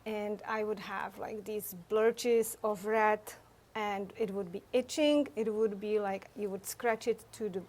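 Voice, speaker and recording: A middle-aged woman from Czechoslovakia with a Czech accent, talking as a guest in a casual interview, halting with fillers. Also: medium pitch, steady tone, a little mellow. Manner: halting, with many fillers and frequent laughter. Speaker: guest, photographer.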